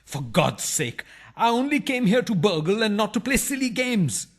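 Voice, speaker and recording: A man plays a cheeky burglar in a radio farce. His voice sits fairly high and swings widely as he protests with wounded politeness. He takes everything with comic literalness and easily turns indignant. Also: sing-song, moderate pace, full character voice. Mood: indignant, proud. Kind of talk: farcical radio play acting.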